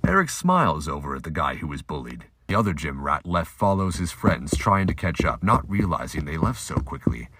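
A man with a husky voice reading scripted narration for an audio drama. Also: a bass voice, very animated, normal speed, few pauses, fairly bright tone.

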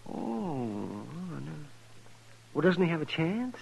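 An actor playing an excitable, folksy Old West town doctor in a 1950s radio western, with a raspy, fussy delivery. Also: male voice, a little high, slow, a little hiss or hum, full character voice, poor audio. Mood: concerned. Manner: animated, fussy.

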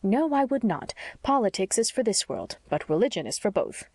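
A woman voicing a blunt, sharp-tongued older spinster in an audiobook story, with a brisk, scolding manner. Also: medium pitch, big pitch swings, no room sound, clean studio sound, voice pushed up. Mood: tart.

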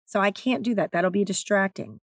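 A woman with a naturally raspy, slightly strained voice, hosting her own podcast in a candid, chatty way. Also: medium pitch, a little fast, no echo, studio quality. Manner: candid, chatty, self-deprecating. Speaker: podcast host and author. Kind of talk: solo podcast talk.

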